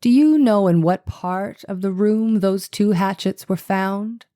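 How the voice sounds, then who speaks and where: A woman voicing a methodical 1890s male defense attorney in formal, deliberate cross-examination, in a dramatized trial reading. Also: mid-range voice, warm tone, lightly acted. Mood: probing, formal. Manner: formal, deliberate, probing questioning. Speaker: voice actor reading a lawyer's part.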